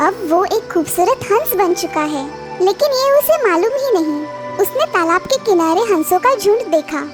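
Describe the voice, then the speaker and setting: A woman tells a children's story in a very high, animated voice. She reads as a scripted narrator, with music clearly playing behind her. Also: moderate pace.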